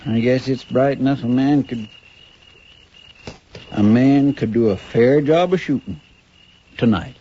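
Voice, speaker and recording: A man's voice: medium pitch, a little slow, pauses often, natural voice. Man acting a folksy western sidekick on muffled radio. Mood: uneasy. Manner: folksy, hesitant, respectful. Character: deferential, folksy male deputy sidekick in a western. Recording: dull, hissy old radio recording.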